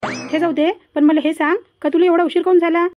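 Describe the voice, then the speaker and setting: A woman's voice: very high-pitched, little pitch movement, a little fast, no room sound, dull audio. A woman voicing a mischievous, boastful village boy in a cartoon comedy, chattering and teasing in a Varhadi Marathi accent. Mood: complaining.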